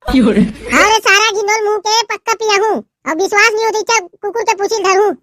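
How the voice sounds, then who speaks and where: A man's voice: very high voice, moderate pace, sharp tone, no echo, studio quality. A man voicing a cheeky young village buddy in a comedy cartoon, teasing and needling with a Chhattisgarhi accent. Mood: mocking. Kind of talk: comic cartoon dialogue.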